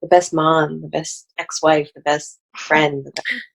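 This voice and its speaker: A woman with a low, gravelly, somewhat husky voice, chatting loosely as a healing practitioner. She rambles and trails off into asides. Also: little pitch movement, measured pace, poor audio. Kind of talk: casual chat.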